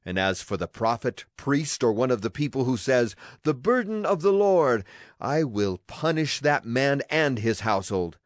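A man's voice: medium pitch, big pitch swings, moderate pace, dry recording, studio quality. A man gives a solemn, declamatory dramatized reading of scripture as a Bible narrator. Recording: clear music behind the voice. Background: music.

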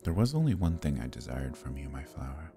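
A man playing a proud, possessive fae prince in an intimate ASMR roleplay, husky and breathy, with measured, courtly speech that dips into whispers. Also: rumbling low, big pitch swings, warm tone, soft background music. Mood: tender. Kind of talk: ASMR roleplay, intimate archaic theatrical acting.

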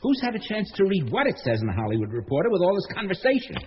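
A man's voice: high-pitched, huge pitch swings, rapid-fire. A man playing a brusque, nagging, self-absorbed show-business agent in a radio comedy-drama. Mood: distracted, irritable. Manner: brusque, nagging, matter-of-fact. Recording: dull lo-fi radio sound, faint noise.

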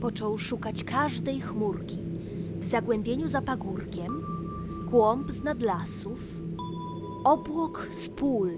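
Slow-paced woman storyteller over loud music. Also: on the high side. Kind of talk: rhymed children's fairy-tale narration. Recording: music behind the voice.

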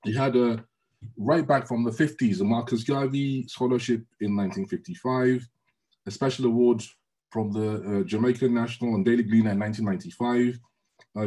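A middle-aged man with a lowish voice, whose first language is Yoruba, introducing a panelist formally. He is measured and respectful and pauses often with "uh". The voice comes through a video call from a room at home. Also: warm tone, low-quality recording. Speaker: panel moderator.

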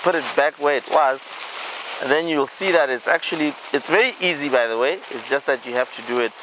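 A man's voice: medium pitch, a little fast. A man hosting a vlog, casually walking through an outdoor campsite and explaining it with easy fillers, recorded on a handheld camera outdoors. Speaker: vlog host. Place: outdoor campsite in dry bush. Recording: outdoor camera audio with clear noise.

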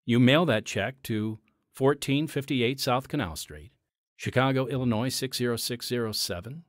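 A man's voice: medium pitch, moderate pace, no room sound, studio quality. A man hosting, making an earnest, conversational donation appeal to listeners. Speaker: host.

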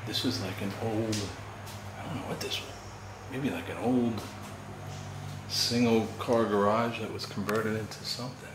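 A man with a somewhat low voice murmurs nervous commentary while he picks his way through a derelict house. His voice rings off bare walls, and a steady hiss sits under it from the handheld camera. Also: normal speed, pauses often. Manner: hushed, uneasy, talking to viewers. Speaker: vlogger exploring an abandoned house. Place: empty derelict house, indoors. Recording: echoey bare room, noisy.

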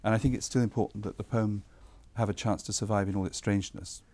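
A man speaks in a mellow, fairly low voice, reflecting on his work as a publisher. He circles back on his words as he thinks, careful and considered. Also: fairly even intonation, quick pace. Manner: thoughtful, repeats words while searching. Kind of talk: interview remarks.

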